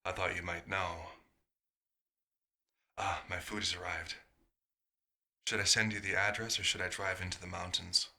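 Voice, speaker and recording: A man's voice: sits low, little pitch movement, moderate pace, gravelly, long pauses, pushed and bright, full character voice. A man playing a bewildered, hungry vampire doctor turned human, speaking formally and hesitantly in an audio roleplay. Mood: earnest, polite.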